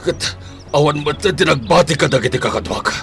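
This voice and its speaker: A man playing a snarling, menacing gang boss in a radio drama, shouting and gloating at his men and enemies. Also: a little high, expressive, brisk, gravelly, soft background music. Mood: rattled, desperate. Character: snarling male villain, gang boss commanding armed men. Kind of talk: Ilocano radio drama acting.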